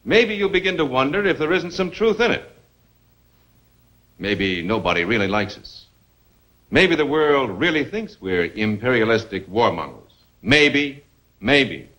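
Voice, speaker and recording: An American man delivering emphatic, rhetorical narration for a 1950s military film, with dramatic pauses. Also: mid-range voice, big pitch swings, a bit bright, highs cut off. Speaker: narrator and presenter.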